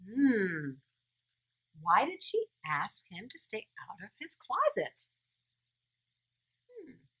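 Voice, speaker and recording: A woman storyteller reading a picture book aloud to young children in a playful, exaggerated manner, pausing to put questions to her listeners. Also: mid-range voice, huge pitch swings, fairly bright tone, slightly rough.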